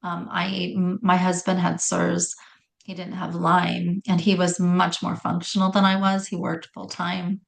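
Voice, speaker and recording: A middle-aged woman with a raspy voice telling her personal story in conversation, often stopping to correct herself. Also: on the low side, completely flat delivery, measured pace. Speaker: guest telling health story.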